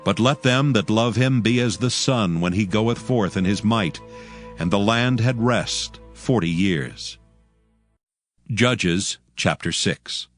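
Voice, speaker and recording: A low-pitched man narrates scripture over music. His scripted delivery is measured and solemn.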